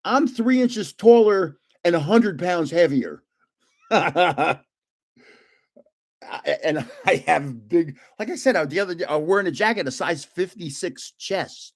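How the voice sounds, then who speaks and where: Older man in his seventies, lively up-and-down delivery, slightly high voice, laughs a lot, rambling chatty host. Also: unhurried. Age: 70s. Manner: animated, laughs often, rambling anecdotes; self-described booming voice when yelling. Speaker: livestream host opening trading cards. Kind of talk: casual storytelling chat.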